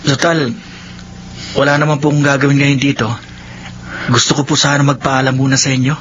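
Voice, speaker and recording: A man's voice: medium pitch, little pitch movement, moderate pace, lo-fi sound, background noise, lightly acted. A young Filipino man acting in a radio drama as a meek, remorseful younger brother, earnest and polite.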